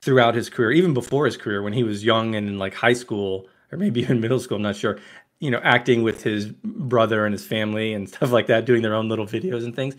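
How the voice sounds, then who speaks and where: A man's voice: on the low side, quick pace. A man co-hosting a podcast, chatting casually about films and often checking for agreement as he goes. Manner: chatty, often seeks agreement with tag questions. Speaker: podcast co-host and author. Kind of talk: casual film discussion.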